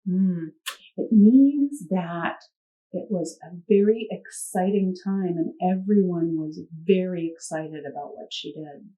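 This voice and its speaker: A woman reading a picture book aloud to children, expressive, pausing to ask gentle prompting questions. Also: fairly low pitch, poor audio. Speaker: puppet show storyteller.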